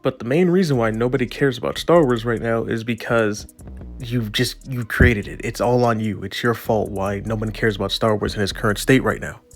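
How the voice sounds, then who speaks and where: A man's voice: on the low side, fairly even intonation, moderate pace, soft background music. A man with a stuffy, nasal voice from allergies, giving earnest, rambling opinion commentary with frequent restarts. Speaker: video commentator.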